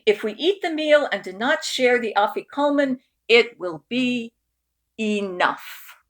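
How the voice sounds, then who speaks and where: A woman voicing a cheerful, agreeable older uncle in a storybook, with emphatic, drawn-out exclamations. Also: fairly high pitch, lively intonation. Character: Uncle Murray, cheerful agreeable older man hosting family Seder.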